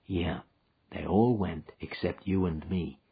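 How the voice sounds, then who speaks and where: A man playing a weary frontier wanderer who speaks gently to a child in a rustic dialect, with a husky voice. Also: low-pitched, fairly even intonation, slow, phone-quality sound, full character voice. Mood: gentle.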